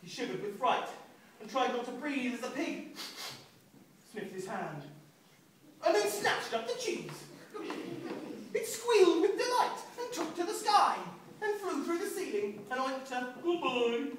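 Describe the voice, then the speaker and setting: Animated author reading rhyme in echoing hall. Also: male voice, very high voice, measured pace. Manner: expressive, sing-song rhythm. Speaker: children's author reading aloud. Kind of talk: rhyming story reading to an audience. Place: large echoing room at a book event. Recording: echoey hall, distant mic, noisy.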